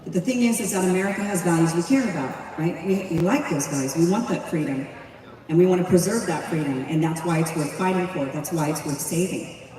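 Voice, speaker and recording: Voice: mid-range voice, fairly quick, darkish tone, recorded from a distance. Impassioned speaker in an echoey hall. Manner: impassioned, with many rhetorical questions. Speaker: speaker at a community political event. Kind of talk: rousing public speech.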